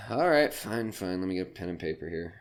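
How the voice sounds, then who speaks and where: A man's voice: deep voice, lively intonation, normal speed. Man acting a jaded comic with wide-swinging delivery. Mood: irritated, guarded. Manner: grumbling, brash, curt. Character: jaded, foul-mouthed nightclub comedian, bitter and weary. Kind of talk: audio drama acting.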